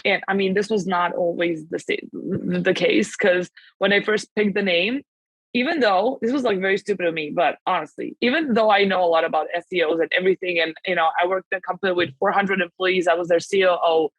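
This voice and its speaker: Fast-talking Serbian woman, dry sound. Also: on the low side, no room sound, poor audio. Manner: fast, rambling, many fillers and restarts. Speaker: guest, translation agency founder. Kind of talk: casual podcast interview.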